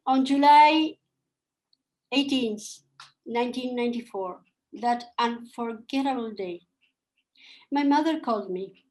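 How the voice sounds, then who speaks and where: An older woman with Polish as her first tongue, from Argentina, reading a personal account slowly and with feeling as a panel speaker. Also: sits high, rough recording. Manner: slow, measured and emotional.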